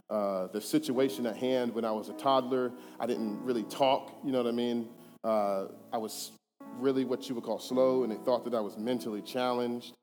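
A man in his thirties giving a personal testimony, earnest and conversational, moving between hushed and emphatic. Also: mid-range voice. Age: 30s. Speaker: church speaker giving testimony. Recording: reverberant hall with faint music.

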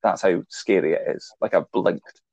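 Gravelly middle-aged man musing at length. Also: low-pitched, normal speed, a little mellow, no echo, lo-fi sound. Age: 40s. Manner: explaining at length, playfully teasing. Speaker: podcast co-host. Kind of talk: casual film chat.

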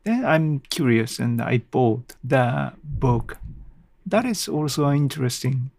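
Male solo podcaster, gravelly and dry-sounding, hesitant off-the-cuff rambling. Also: mid-range voice, expressive, a little mellow, no room sound. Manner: hesitant, rambling, halting with small self-deprecating chuckles. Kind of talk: casual unscripted monologue.

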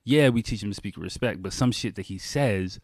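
A man's voice: on the low side, brisk, darkish tone, no room sound, studio quality. A man hosting a podcast solo, talking in an informal, conversational way with casual swearing. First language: English. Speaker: podcast host.

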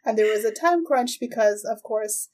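A woman hosting a podcast, chatting casually in a halting, giggly way as she recaps a show. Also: fairly high pitch, lively intonation, a little mellow. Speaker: podcast host. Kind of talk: casual chat, recapping an anime.

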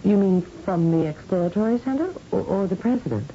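A woman's voice: mid-range voice, expressive, brisk, warm tone, close to an everyday voice. An actress playing a curious, thoughtful woman scientist in an old-time radio science fiction drama. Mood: questioning, serious. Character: curious, thoughtful female scientist, ageless space explorer. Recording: dull, noisy old radio recording.

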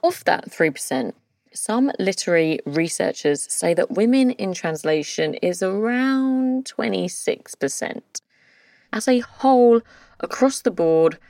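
A woman's voice: fairly low pitch, creaky, a little mellow, no room sound, studio quality, far from the mic. A woman hosting an interview show, reading scripted narration.

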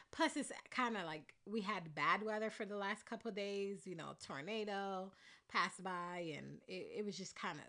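A congested woman talks through her solo podcast in a loose, candid ramble, full of ums and side turns. Her voice is bright and forward even with the stuffy nose. She sounds like she's just chatting to her listeners. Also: medium pitch. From Caribbean. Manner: rambling, candid, with frequent fillers. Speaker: podcast host. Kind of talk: casual solo podcast chat.

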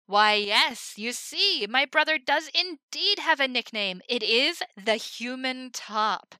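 A woman doing a booming showgirl barker. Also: lively intonation, slow pace, pushed and bright, dry recording, studio quality, full character voice. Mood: showy. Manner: booming, theatrical barker delivery. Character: exuberant young woman soldier playing a showman.

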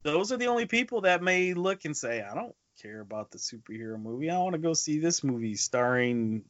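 A man's voice: fairly high pitch, sing-song, fairly quick, a bit bright, no echo. A man co-hosting a casual movie podcast, speaking emphatically and repeating himself to drive points home. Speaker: podcast co-host. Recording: dull lo-fi podcast audio.

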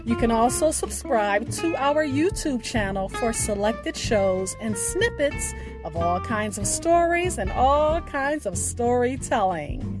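A woman with a fairly high voice hosts a scripted storytelling podcast. She speaks very slowly, enunciating each phrase with deliberate emphasis. Music plays clearly behind her words. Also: animated. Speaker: podcast host. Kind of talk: scripted podcast outro with spoken poetry.

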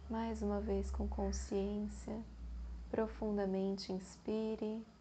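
A woman with a Brazilian Portuguese accent leads a guided meditation in a soothing manner, leaving long pauses between phrases. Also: mid-range voice, level tone, dark tone, a little hiss or hum.

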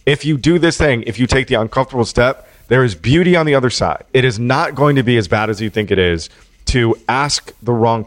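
A man's voice: medium pitch, dry recording. A man hosting a podcast, talking casually in an earnest, encouraging way. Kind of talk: casual conversation.

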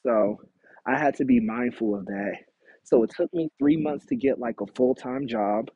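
A man in his thirties hosting his own podcast, talking candidly and casually, with animated quotes of himself. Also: mid-range voice, normal speed, vocal fry, breathy edge, no echo. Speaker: podcast host. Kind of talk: casual solo podcast monologue. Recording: tinny phone-like audio, dry.

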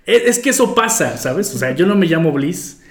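A man's voice: a little high, big pitch swings, moderate pace. A man hosting a casual podcast interview in conversational style. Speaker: podcast host. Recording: roomy, echoing voice recording.

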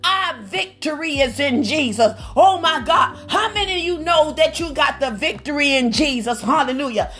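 A woman's voice: sits high, a bit bright. A woman preacher leading a phone prayer line in a fervent charismatic style, exclaiming praise and interjecting often. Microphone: phone call on a prayer line.

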